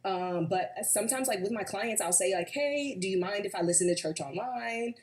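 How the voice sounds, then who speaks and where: A woman's voice: medium pitch, normal speed. A woman on a dating show, chatty and frank as she explains herself. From United States.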